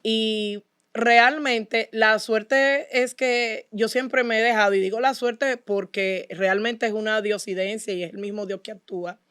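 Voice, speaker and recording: A woman's voice: on the high side, steady tone, measured pace, fairly bright tone, clean tone, no room sound, clean studio sound. A woman with a Dominican Spanish accent, speaking as an interview guest and telling her personal story in an earnest, devout way. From Dominican Republic. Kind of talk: personal testimony in conversation.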